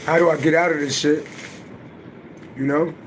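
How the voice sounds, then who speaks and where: A middle-aged man talks loosely on his livestream, his raspy voice sitting fairly high and sounding a little worn from singing. He swears casually and answers his viewers as he goes. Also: steady tone, normal speed, slight background noise, rough recording. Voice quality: deep voice. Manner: loose, profane, chatty, answers viewers' comments. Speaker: livestream host and astrology reader.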